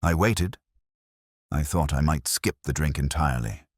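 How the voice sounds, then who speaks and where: A cautious woman guest in a mystery audiobook, voiced by a man. The delivery is hesitant and guarded, the pitch very low, and the sound dry and free of room. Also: a little slow, no room sound. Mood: cautious, uncertain.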